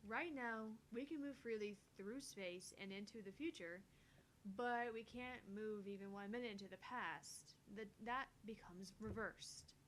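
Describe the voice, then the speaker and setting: A woman's voice: unhurried, a bit bright, lightly acted, low-quality recording. A woman voicing an earnest, easily flustered young female scientist from a visual novel, explaining with the odd stammer. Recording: faint noise with low rumble.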